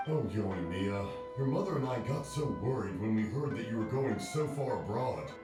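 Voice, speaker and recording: A man voicing a kindly, doting older father in an anime-style video game, gentle and slightly befuddled. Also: lowish voice, animated, normal speed, natural voice. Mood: worried, fond. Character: kindly, doting older father, hard-of-hearing fireworks maker. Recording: faint music behind dialogue.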